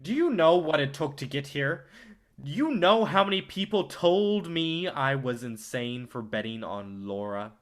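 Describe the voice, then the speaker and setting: A man's voice: on the high side, lively intonation, measured pace. A man in a staged play reading, acting the part of a curt, domineering film company boss with a dismissive, profane manner. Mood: defensive.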